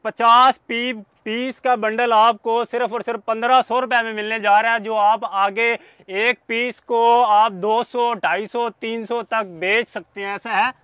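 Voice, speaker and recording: A man's voice: extremely high pitch, little pitch movement, unhurried, hardly any pauses, sharp tone, clear, resonant tone, no echo. A man in his twenties with a Pakistani accent, hosting a market vlog in an emphatic, salesy way and repeating each offer. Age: 20s. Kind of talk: salesy presenting and interviewing to camera. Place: clothing warehouse stall packed with fabric bales.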